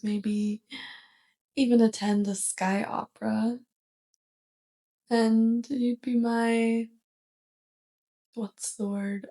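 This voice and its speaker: A woman playing a sweet, curious, awkward alien roommate with a crush, speaking gently and haltingly in a soft ASMR roleplay. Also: a little high. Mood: tentative, affectionate. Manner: gentle, hesitant, halting delivery.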